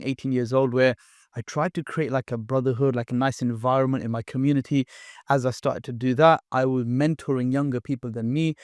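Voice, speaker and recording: A man from the UK, a podcast guest, telling his story in a relaxed way, with fillers and restarts. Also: mid-range voice, no room sound, studio quality. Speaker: guest, content creator. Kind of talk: casual podcast interview.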